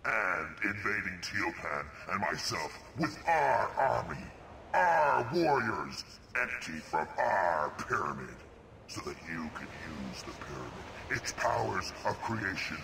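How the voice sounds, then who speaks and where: An ancient king in a video game, pronouncing judgement. His low voice is grave and declamatory. It rings with heavy echo over background noise. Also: big pitch swings, unhurried, gravelly, pushed and bright, full character voice. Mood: grave accusation. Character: solemn, condemning ancient king passing judgement. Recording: echoing, noisy cutscene audio.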